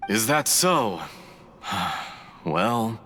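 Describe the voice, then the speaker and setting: A man's voice: on the low side, big pitch swings, pushed and bright, a little hiss or hum, heavily acted. Formal, courteous man, echoing reverb. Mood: concerned, resigned. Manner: polite, formal, deliberate. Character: courteous, earnest male visitor, formal and cautious. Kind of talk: animated game voice acting.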